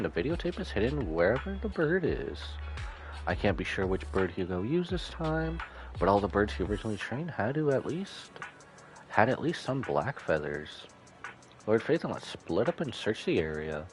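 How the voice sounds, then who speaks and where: A man voicing a polite, earnest young woman informant from a video game as he reads her explanations aloud. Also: on the low side. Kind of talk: reading game dialogue aloud in character. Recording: faint game music behind.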